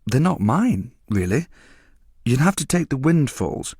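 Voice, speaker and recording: Man doing an anxious thin young woman, hesitant, polite, slightly raised pitch, dry. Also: very animated, a little slow, a little mellow, husky, no room sound, heavily acted.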